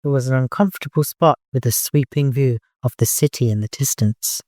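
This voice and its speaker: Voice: medium pitch, a little fast, darkish tone, no room sound, studio quality. An audiobook narrator reading scripted dramatic fiction. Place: close, dry recording space.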